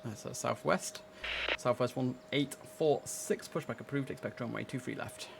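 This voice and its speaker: A man's voice: mid-range voice, moderate pace, vocal fry, background noise. A man commentating casually on a simulator game, chatting and musing to himself, with clipped radio calls. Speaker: streamer playing an air traffic control simulator.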